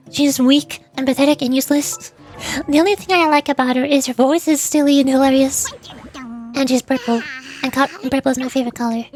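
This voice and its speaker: A woman hosting a casual gaming livestream, chatting in an animated, playfully whiny way. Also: high-pitched. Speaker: VTuber livestream host.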